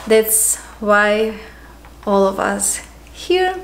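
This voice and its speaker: A woman in her thirties from Ukraine with a Ukrainian accent, hosting a casual knitting podcast at home, talking unhurriedly with frequent ums and pauses. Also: mid-range voice, big pitch swings, slight background noise. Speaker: podcast host. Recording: echoey room.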